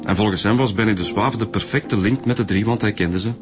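A man's voice: fairly low pitch, brisk, over music, lightly acted. A man with a Flemish accent playing a down-to-earth, wry police detective in a radio drama.

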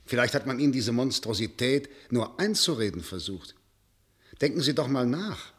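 An actor playing a skeptical, probing official in a German radio drama, formal and precise, questioning with pointed incredulity. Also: male voice, mid-range voice, animated, normal speed, lightly acted. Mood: doubtful.

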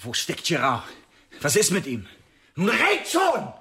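A man's voice: fairly high pitch, huge pitch swings, measured pace, heavy background noise, heavily acted. An actor playing a hot-tempered, defiant man in a radio drama, blunt and indignant in his speech. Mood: angry alarm.

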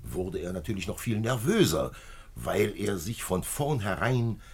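An actor playing a calm, shrewd police commissioner in a 1950s German-language radio play, measured, patient and matter-of-fact. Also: male voice, heavily acted. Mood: calm, explaining. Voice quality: gravelly, husky low voice.

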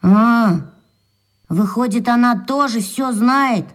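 Woman as high-voiced cheeky schoolboy. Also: slow. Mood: surprised. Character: puzzled, cheeky schoolboy friend. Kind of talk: children's radio drama acting.

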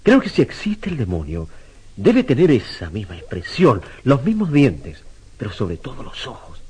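Fervent, obsessive artist for radio drama: theatrical, very animated, rough-edged voice. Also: male voice, medium pitch, normal speed, heavily acted. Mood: excited, fascinated. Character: excitable, obsessive male sculptor.

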